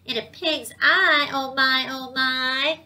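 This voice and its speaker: A woman voicing a feisty, stubborn old granny in a storybook, with folksy, emphatic drawn-out exclamations. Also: high voice, steady tone, pushed and bright, pitched above the performer's own voice, heavily acted. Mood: scornful.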